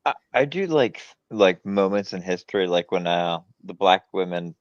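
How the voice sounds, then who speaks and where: A man's voice: low voice, lively intonation, normal speed, dry recording. An American man talking casually in a group discussion over a slightly dull, lo-fi mic.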